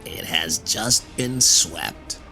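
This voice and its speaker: Old crafty grandmother in a fairy tale, read by a man: low, gravelly, unhurried. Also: animated, pushed and bright, exaggerated voice. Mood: calm. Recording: faint music behind.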